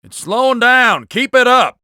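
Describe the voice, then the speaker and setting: An actor playing a brash, wisecracking agent ally in a video game, slangy and urging. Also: male voice, very high-pitched, expressive, unhurried, sharp tone, clean tone, no room sound, clean studio sound, full character voice. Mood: excited urging. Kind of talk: video game radio chatter.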